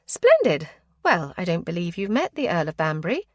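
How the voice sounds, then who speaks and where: A woman voicing an eager young gentlewoman with a brisk, cheerful manner. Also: lowish voice, sing-song, dry recording, studio quality.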